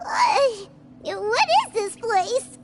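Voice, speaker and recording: A woman voicing a small, excitable, easily scared and cheeky female fairy companion in a video game. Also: very high voice, very slow, exaggerated voice. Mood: scared, whiny. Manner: speaks of herself in third person, exclamatory. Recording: music behind the voice.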